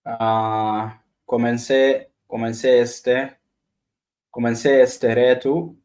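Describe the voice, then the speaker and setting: Slow, hesitant man with native Arabic. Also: mid-range voice, flat delivery, a little mellow. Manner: hesitant, repeats words over and over. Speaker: language learner streamer. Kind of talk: practicing beginner phrases aloud. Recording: echoey room.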